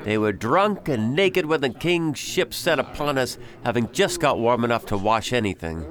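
A man's voice: medium pitch, animated, moderate pace, fairly bright tone, close to an everyday voice. Bold, well-spoken pirate captain played by a man. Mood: jovial. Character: bold, formal pirate captain, former respectable merchant. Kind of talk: audio drama acting.

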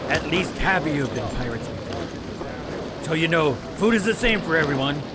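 An actor playing a hardened, wry pirate quartermaster who rallies the crew in a radio drama. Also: male voice, fairly high pitch, animated, normal speed, very noisy, natural voice. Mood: matter-of-fact.

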